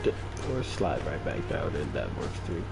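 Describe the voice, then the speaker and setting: A man's voice: rumbling low, huge pitch swings, moderate pace, gravelly, over loud music. A young man giving casual, off-the-cuff gameplay commentary, musing aloud as he plays. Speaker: video game streamer.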